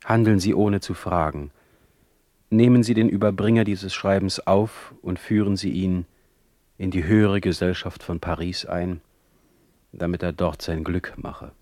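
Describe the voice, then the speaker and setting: A man's voice: sits low, fairly even intonation, a little slow, a little mellow, no room sound, studio quality. An actor playing a proud, defiant exiled male poet in a radio play, declaiming emphatically in formal diction. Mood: earnest, formal. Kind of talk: radio play acting, dictated letters.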